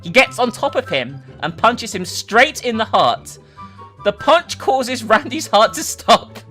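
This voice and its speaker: A woman's voice: fairly high pitch, big pitch swings, measured pace, fairly bright tone, faint music behind. A woman giving a theatrical, emphatic dramatic reading of a story, as a host who also comments casually. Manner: theatrical, emphatic, sweary in asides.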